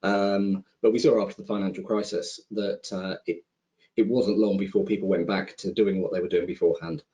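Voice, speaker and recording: Low, mellow-voiced man, conversational. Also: steady tone, a little fast. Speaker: investment professional, panelist. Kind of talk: conversational roundtable commentary. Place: remote conference call.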